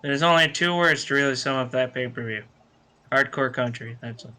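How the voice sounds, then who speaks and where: A man's voice: medium pitch, brisk, bright, forceful tone. A man chatting bluntly and casually as a panelist on a podcast. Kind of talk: casual chat.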